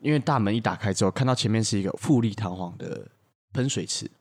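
A man's voice: fairly low pitch, fast talker, gravelly, breathy edge. A man, casually improvising stories as a podcast storyteller.

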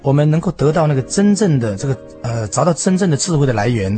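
A man with a Taiwanese Mandarin accent giving conversational religious commentary as a guest preacher, building thoughts in short, halting phrases. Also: a little high, very animated, mellow. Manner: halting, phrase by phrase with many sentence-ending particles. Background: background music.

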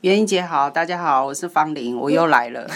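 A woman's voice: sits low, brisk. A woman speaking as an interview guest, explaining her writing in a conversational, storytelling way. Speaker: guest, radio drama writer.

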